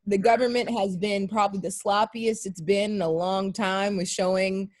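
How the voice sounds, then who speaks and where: A woman's voice: mid-range voice, fairly even intonation, moderate pace, a little mellow. A woman talking casually on a discussion panel, thoughtful and hesitant with frequent fillers. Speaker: panel guest.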